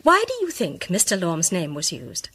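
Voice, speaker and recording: Woman amateur sleuth, British accent, animated intonation, forceful bright tone, articulate, superior, assured, radio mystery drama. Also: on the low side, moderate pace, husky, heavily acted. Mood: assured, incisive. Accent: British English. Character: confident, incisive woman amateur detective, briskly superior. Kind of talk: radio drama acting, polished monologue.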